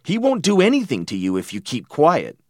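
A man's voice: fairly high pitch, huge pitch swings, fairly quick, darkish tone, dry recording, clean studio sound, voice pushed up. A man voicing a brave, insistent young boy in a storybook, urging his friends. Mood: urgent.

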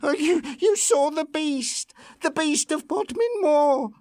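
A man's voice: very high voice, little pitch movement, normal speed, fairly bright tone, no room sound, clean studio sound, voice pushed up. A man reading a story, voicing a distraught farm woman who stammers and trembles as she cries. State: crying, trembling. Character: trembling middle-aged female farmer.

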